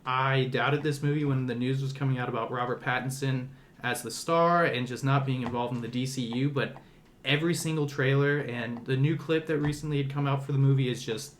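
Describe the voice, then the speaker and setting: A young adult man co-hosts a casual film podcast. His mid-pitched voice is conversational and loosely phrased. There is faint noise behind him. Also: fairly even intonation, a little fast. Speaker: podcast host. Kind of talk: casual movie discussion.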